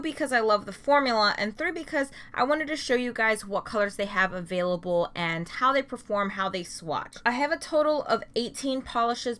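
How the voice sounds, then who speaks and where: A woman's voice: medium pitch, pushed and bright. A young adult woman chatting casually as she reviews nail polishes for her channel. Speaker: beauty vlogger.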